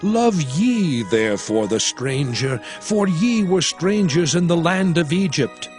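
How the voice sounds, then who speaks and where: A solemn prophet who speaks slowly and deliberately, his tone rising and falling widely as he exhorts his people. The voice sits fairly high for a man, with soft music underneath. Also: expressive. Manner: declamatory, measured, solemn. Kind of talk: dramatized scripture reading.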